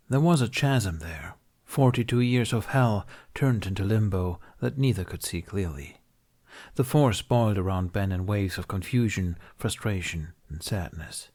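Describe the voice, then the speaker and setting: A man's voice: low voice, darkish tone. A man reading a scripted story as an audiobook narrator, in a dramatic narrative style.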